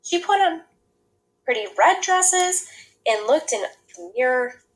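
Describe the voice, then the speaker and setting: A woman reads a picture book aloud for children. Her voice sits fairly high and swings widely in pitch, with an animated, emphatic storytelling delivery. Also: slow pace, low-quality recording. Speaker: read-aloud storyteller, teacher.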